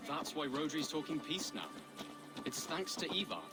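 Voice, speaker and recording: A man's voice: on the high side, sing-song, normal speed, loud music behind the voice. An actor playing an unsure young nobleman in a video game, speaking politely, earnestly and with deference. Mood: eager. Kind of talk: dramatic game cutscene acting.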